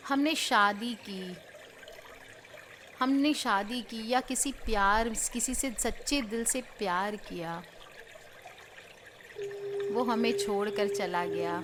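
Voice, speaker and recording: An Indian woman leading a spiritual healing guided meditation in measured short phrases with pauses between them. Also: medium pitch, fairly bright tone. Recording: clear background noise of trickling water.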